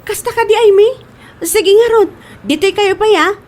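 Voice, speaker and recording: High-pitched hardworking young woman played by an actress. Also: moderate pace, darkish tone. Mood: busy, brisk. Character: hardworking young mother, dutiful, worn-out working daughter. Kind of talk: radio comedy-drama acting.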